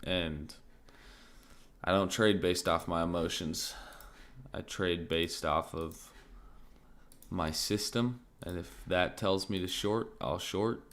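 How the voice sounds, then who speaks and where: A man streaming crypto market commentary in a casual, thinking-aloud way, his voice slightly raspy and often interrupted by throat clearing. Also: deep voice, slow. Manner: relaxed, thinking aloud, many fillers like "like" and "um".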